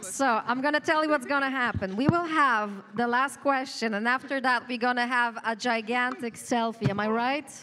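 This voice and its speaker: A woman moderates a live panel in a casual way. Her voice is high and bright, ringing through a large, noisy hall with long reverb. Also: moderate pace, hardly any pauses. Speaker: panel host. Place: large convention hall stage, indoors. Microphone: handheld microphone.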